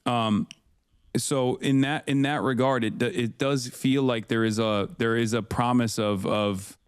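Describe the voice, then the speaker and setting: A man's voice: mid-range voice, steady tone, measured pace, clear, resonant tone, dry recording, studio quality. A man in his thirties from Turkey, talking loosely in a podcast conversation with many fillers and restarts. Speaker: political commentator, guest.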